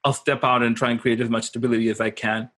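A man speaks as an interview guest at a middling pitch. He tells personal stories informally and emphatically, often checking that the listener is following. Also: flat delivery, fast, pushed and bright. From Zimbabwe. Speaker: interview guest, consultant and coach. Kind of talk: animated personal storytelling in casual conversation.

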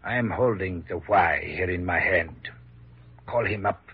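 Cold criminal gunman, curt and threatening, low-pitched, old radio drama, tinny narrow-band recording. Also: measured pace, slightly rough, exaggerated voice. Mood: cold threat. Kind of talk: old-time radio acting. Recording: muffled, phone-thin old radio recording.

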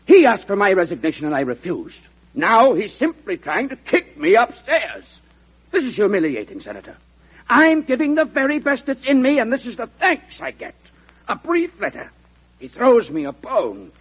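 Wounded self-important politician, very raspy, swooping delivery, old radio drama, muffled sound. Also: male voice, sits high, wildly swooping pitch, moderate pace, full character voice. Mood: indignant. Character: vain, wounded, self-important cabinet minister. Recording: muffled vintage radio recording.

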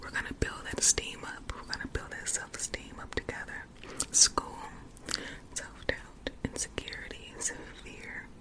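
A woman whispering softly and soothingly in ASMR style, repeating gentle phrases close to the microphone. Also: a little slow, poor audio. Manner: soft, soothing, repetitive whisper. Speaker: ASMR livestream host.

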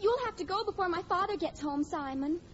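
Impatient young daughter, protesting, extremely high pitch; muffled vintage radio sitcom. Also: female voice, expressive, brisk. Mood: coy, dismissive. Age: young adult. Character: exasperated young daughter, brushing off a gushing suitor. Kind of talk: 1940s radio sitcom acting. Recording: muffled old radio recording, very noisy.

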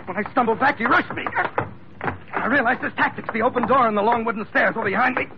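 A man's voice: extremely high pitch, fast, heavy background noise. An actor playing a tough, hot-tempered sea captain who narrates his own adventure in a terse, dramatic old-radio style. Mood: urgent, fierce. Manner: hard-boiled, terse, dramatic delivery. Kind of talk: 1940s-50s radio adventure drama acting with first-person narration. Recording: dull vintage radio recording, loud music behind.

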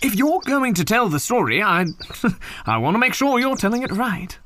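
An actress playing a pedantic, teasing second narrator who needles and nitpicks in an audio comedy drama. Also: female voice, a little high, big pitch swings, moderate pace, fairly bright tone. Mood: needling.

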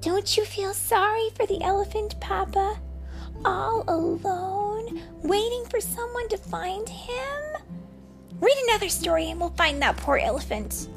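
Woman voicing an eager, high-pitched little chicken child. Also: animated, measured pace, creaky, over music, exaggerated voice. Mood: pleading. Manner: exclaiming, wheedling, childlike. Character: eager, cheeky young chicken child, playfully insistent. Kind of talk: picture-book read-aloud character voice.

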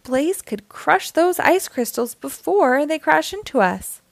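A woman voices a helpful young girl from a children's storybook. She explains things keenly in a fairly high voice with a dry, close sound. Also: lively intonation, measured pace, vocal fry, no echo, exaggerated voice. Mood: explaining.

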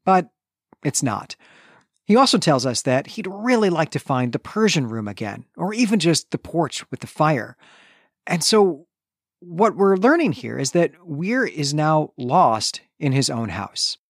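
A man's voice: a little high, sing-song, unhurried, no room sound, studio quality. A man co-hosting a podcast, discussing a book in a thoughtful, analytical and conversational way with some emphasis. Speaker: podcast co-host.

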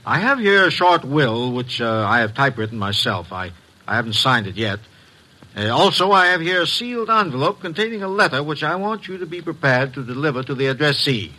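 A secretive businessman in vintage radio drama. His voice is mid-pitched and sharply bright. He speaks formally and hesitantly, weighing what he reveals. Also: very animated, brisk, poor audio. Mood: guarded. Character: secretive, businesslike male company president. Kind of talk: 1950s radio drama acting. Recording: vintage 1950s radio recording.

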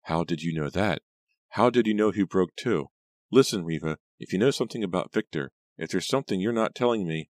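A male narrator plays an anxious, easily upset teenage girl in an audiobook. The voice is pushed far up, thin, shrill and shaky. It pleads and stammers with suspicion, in a dry recording. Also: lively intonation, fairly quick, darkish tone, no room sound. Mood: distraught, suspicious. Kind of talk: audiobook character dialogue.

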